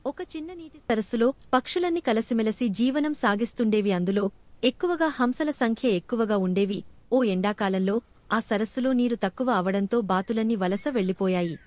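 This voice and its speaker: A woman's voice: a little high, normal speed, darkish tone, no echo. A woman narrating a scripted children's fable as a storyteller.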